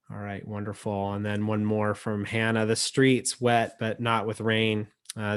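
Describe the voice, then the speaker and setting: A man hosting a poetry reading over a video call, speaking in a halting, reflective way. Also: fairly low pitch. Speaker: emcee and editor.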